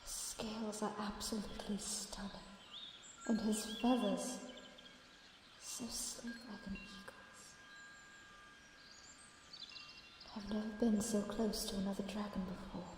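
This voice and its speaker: A woman's voice: medium pitch, flat delivery, unhurried, music in the background, lightly acted. Woman playing a curious young dragon in cavernous echo. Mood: awed, hushed. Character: curious, protective female young dragon, gentle and loyal. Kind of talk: ASMR audio roleplay. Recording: very echoey, long reverb, faint background noise.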